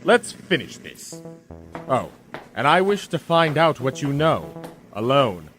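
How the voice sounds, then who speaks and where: Man acting as smug galactic tyrant, menacing, slow, very expressive, theatrical, radio drama. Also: medium pitch, soft background music, full character voice. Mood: impatient gloating. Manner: menacing, theatrical, drawn-out delivery. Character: smug male villain, self-proclaimed ruler of the universe. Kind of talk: comic sci-fi radio drama.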